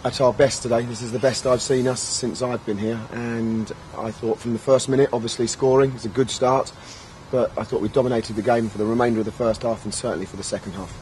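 Football manager at a post-match presser. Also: male voice, mid-range voice, steady tone, darkish tone, a little hiss or hum, poor audio. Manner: measured, frequent fillers. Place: outdoor press area by a sponsor backdrop. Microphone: handheld recorders held close.

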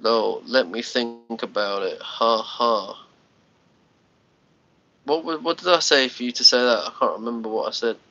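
A man's voice: mid-range voice, a little slow, sharp tone, no echo. A man in his thirties with a Scottish accent, chatting casually to viewers on a livestream from his bedroom, rambling and restarting his words, a little congested. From Scotland. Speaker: livestream host. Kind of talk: casual live chat with viewers. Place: small bedroom, lying on a sofa. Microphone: phone held at arm's length. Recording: lo-fi phone livestream audio.

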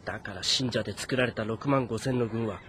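A man's voice: fairly low pitch, a little fast, fairly bright tone, slight background noise, natural voice. A man playing an anxious, weary fortress commander of ancient China in a dramatic, earnest, brooding way. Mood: brooding, frustrated. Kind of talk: dramatic game voice acting with inner monologue.

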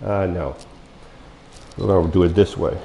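An older man demonstrating a power wheelchair in a showroom, talking folksy and step by step to viewers he calls folks, his voice going hoarse with coughs. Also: deep voice, fairly even intonation, moderate pace, mellow, muffled recording. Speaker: mobility dealer demonstrating a wheelchair. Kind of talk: product demonstration talk. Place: large bare showroom studio, indoors. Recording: echoey room.

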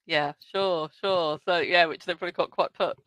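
A woman hosting a video call interview, reading out audience questions slowly between brief acknowledgements. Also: on the low side, fairly even intonation, fairly bright tone, no echo. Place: home room.

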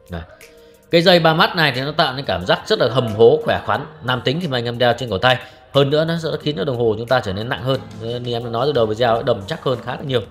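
A young man reviewing a watch in brisk salesman patter, addressing viewers as friends. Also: mid-range voice, a bit bright. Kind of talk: product review with close-up narration.

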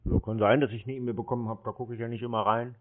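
A man's voice: mid-range voice, flat delivery, racing. A man chatting casually on a phone call in a dry, provocative, unruffled manner, as someone who baits call-centre scammers. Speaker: prank call creator baiting call-centre scammers. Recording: narrow phone-band radio-like sound.

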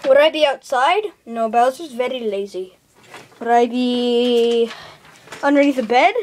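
A woman's voice: fairly high pitch, unhurried, a little mellow, slight background noise, close to an everyday voice. A woman playing a bossy, sarcastic, easily exasperated video game hero in an improvised amateur skit. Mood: musing, sarcastic.

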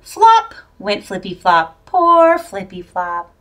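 A middle-aged woman reading a children's picture book aloud in an expressive, dramatic, drawn-out way. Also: mid-range voice. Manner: animated, drawn-out, dramatic delivery for young children. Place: plain-walled indoor room. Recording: voice picked up from a distance.

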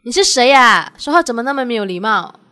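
A woman's voice: a little high, lively intonation, brisk, bright, forceful tone. A young woman acting in a radio drama as a sweet, devoted girlfriend who is easily wounded. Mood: confused, indignant. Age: young adult.

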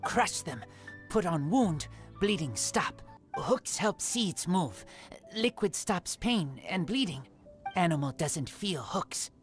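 Low-pitched, feral teenage wolf boy. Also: very animated, takes it slowly, faint music behind. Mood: earnest. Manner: broken, clipped short phrases. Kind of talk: video game voice acting.

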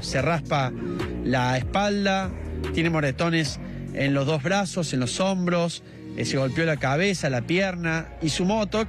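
A man in his thirties with an Argentine accent, reporting live from a street with a handheld mic and lots of fillers. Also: on the high side. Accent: Argentine Spanish. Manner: many "eh" fillers, measured. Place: outdoors on a residential street.

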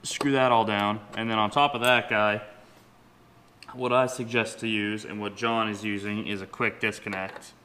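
A man's voice: on the low side, level tone, moderate pace, pushed and bright, a little hiss or hum. A man in his twenties giving a casual, hands-on tutorial to camera in an informal, folksy way, recorded in a home garage. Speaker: host of a car modification vlog. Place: home garage, partly inside a vehicle.

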